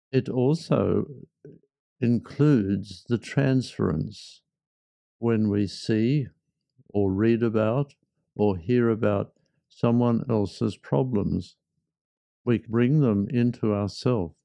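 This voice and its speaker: A man leading a guided meditation in a measured, reflective manner with frequent pauses. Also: on the low side, vocal fry, dark tone, no room sound.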